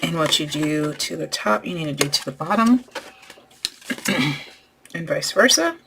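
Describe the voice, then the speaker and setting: A woman hosting a craft tutorial, losing her voice and clearing her throat as she talks through each step while working. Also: low-pitched, lively intonation, a little slow, noisy background. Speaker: crafting channel host.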